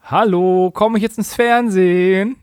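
Guy, voice a bit on the high side, jokey podcast chat, no room echo. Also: steady tone, measured pace, a little mellow, clean tone, clean studio sound. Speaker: podcast co-host. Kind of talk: casual, joking chat.

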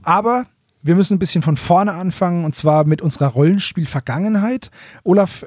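Male co-host, fairly high voice, dry sound, chatty podcast delivery with English phrases mixed in. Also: a little fast, a little mellow, no room sound. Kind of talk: casual podcast chat.

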